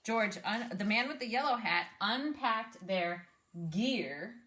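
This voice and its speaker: A woman reading a story aloud expressively, pausing to explain and ask questions like a teacher. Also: on the high side, animated, bright, forceful tone, roomy sound. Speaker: speech therapist reading aloud to a child.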